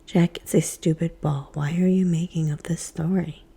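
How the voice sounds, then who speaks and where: A woman's voice: low voice, fairly even intonation, moderate pace, vocal fry, mellow, husky, full character voice. A woman whispering as a mocking little sister. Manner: mocking, dismissive. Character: teasing bratty little sister, young girl.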